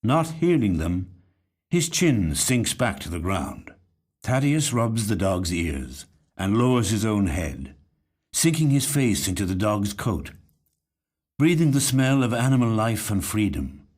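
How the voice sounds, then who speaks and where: A man reads literary fiction as a practised actor would. His delivery is measured and reflective, and his pitch moves expressively through each sentence. It is a scripted studio narration. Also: darkish tone. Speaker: actor reading short stories. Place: recording studio.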